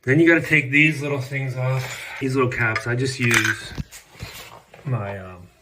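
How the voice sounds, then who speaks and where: A man's voice: mid-range voice, fairly even intonation, a little slow. Rambling man with a cold, echoey bathroom. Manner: rambling, self-correcting, chatty asides. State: stuffy with a cold, sniffling. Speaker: flooring installer. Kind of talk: casual how-to vlog, filming himself. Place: indoor bathroom on a job site. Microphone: handheld camera. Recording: echoey room with noise behind.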